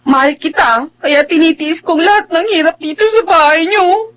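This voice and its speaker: A woman's voice: very high voice, normal speed, a bit bright. A woman playing a long-suffering, devoted young wife in a radio drama, with a plaintive, pleading delivery. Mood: plaintive, hurt. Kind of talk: radio drama acting with first-person narration.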